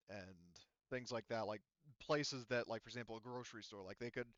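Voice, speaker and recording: A man with a lowish, clear voice acts as game master, improvising explanations for his players. He talks haltingly and circles back to qualify what he says. The recording is dry and a little dull. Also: a bit bright, no room sound. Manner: halting, rambling, qualifies himself often.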